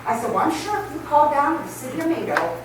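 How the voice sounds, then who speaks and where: A woman's voice: high-pitched, sing-song, fast talker. A woman resident speaking at public comment, rambling and scattered, apologizing as she goes. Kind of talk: public comment at a council meeting. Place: council chamber. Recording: echoey chamber, far from mic, noisy.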